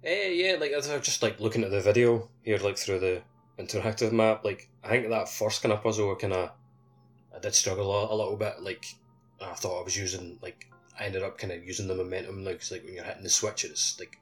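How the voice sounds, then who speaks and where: A man's voice: fairly low pitch, brisk, faint music behind. A man co-hosting a casual video game podcast over a voice call, rambling and tentative in his phrasing. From Scotland. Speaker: podcast co-host. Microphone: voice call over the internet.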